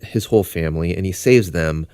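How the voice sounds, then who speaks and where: A man's voice: deep voice, fairly quick, a little mellow, smooth. A man co-hosting an explainer, narrating in a casual, conversational and plain-spoken way. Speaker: co-host.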